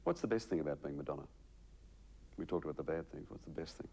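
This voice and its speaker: Deep, fast Australian man interviewing. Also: gravelly, a little mellow, slightly rough. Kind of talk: conversational celebrity interview. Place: living room in a house.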